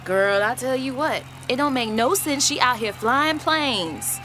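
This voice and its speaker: Woman acting a high-voiced gossipy churchgoer. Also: measured pace, bright, forceful tone, a little hiss or hum. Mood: gossipy, scolding. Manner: sassy, opinionated, chatty. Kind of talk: stage-play dialogue for radio.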